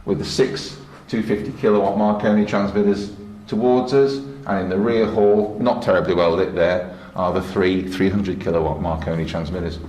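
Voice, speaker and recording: Male tour guide, mature, low pitch, plain explanatory delivery, echoey room with light noise. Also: flat delivery, moderate pace, darkish tone. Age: middle-aged or older. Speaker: station engineer giving a guided tour. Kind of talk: informal technical tour narration. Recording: roomy echo with faint background noise.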